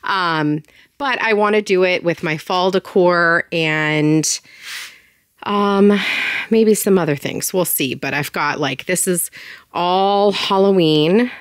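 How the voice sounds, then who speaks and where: A woman's voice: fairly low pitch, takes it slowly, smooth, no room sound, studio quality. A woman in her thirties chatting casually through a tour of her basement storage, with lots of ums and likes and bursts of enthusiasm. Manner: chatty, filler-heavy, enthusiastic. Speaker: home organizing vlogger. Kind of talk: casual walkthrough chat. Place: home basement storage room.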